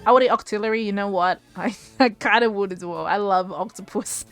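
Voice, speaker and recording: A woman's voice: mid-range voice, brisk, faint music behind. A woman streaming a game live, rambling and giggling with her viewers while she tires and starts losing her voice. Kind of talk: casual live-stream chat while gaming.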